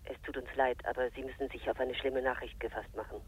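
An actor playing a formal, subdued police officer breaking bad news over the telephone in a radio drama. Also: male voice, medium pitch, flat delivery, fast talker. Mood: grave, official. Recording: faintly noisy telephone line.

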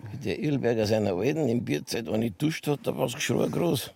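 An actor playing a weary, laconic police detective with a gravelly, very husky voice and a Bavarian accent, grumbling in a radio drama. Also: male voice, mid-range voice, fairly quick, a little mellow, no echo. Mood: dry, baffled. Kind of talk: naturalistic radio drama dialogue.

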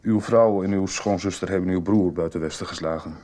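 An actor playing a businesslike, formal police investigator in a Dutch radio soap. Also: male voice, low-pitched, a little fast, dark tone, natural voice. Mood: matter-of-fact.

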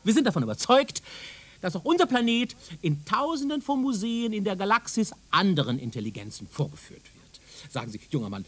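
An actor playing a pompous, self-assured, condescending male professor in a radio play, lecturing emphatically in a husky voice. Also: high voice, sing-song, brisk, fairly bright tone, exaggerated voice. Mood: proud lecturing. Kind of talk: German radio drama acting.

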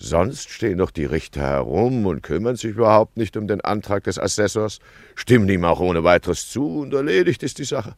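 A fussy town judge in an old radio play, grumbling through his account. The voice is deep and dry, swinging between low mutters and raised calls for order. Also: sing-song, moderate pace, dry recording. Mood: rueful. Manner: grumbling, storytelling narration. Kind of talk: 1950s German radio play acting.

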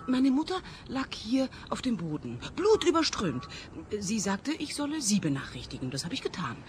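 Stern activist daughter, bossy, very animated intonation, noticeable background noise, radio play. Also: female voice, fairly high pitch, normal speed. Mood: alarmed, dramatic. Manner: indignant, bossy, dramatic. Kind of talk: comic radio play acting.

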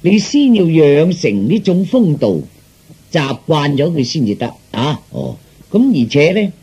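A man voicing a glib, flattering fortune teller in Cantonese, with theatrical sales patter. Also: fairly high pitch, unhurried, husky, heavily acted. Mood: scheming, persuasive. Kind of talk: Cantonese storytelling performance.

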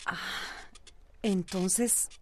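An actress playing a calm, concerned lawyer and loyal friend in a radio soap, measured and probing, with a Costa Rican accent. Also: female voice, mid-range voice, a little hiss or hum. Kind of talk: radio soap opera acting.

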